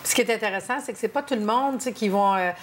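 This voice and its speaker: A woman with a Québécois accent hosting a talk show, briskly asking questions and finishing her guest's thoughts. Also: medium pitch, a little hiss or hum. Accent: Québécois French. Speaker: host.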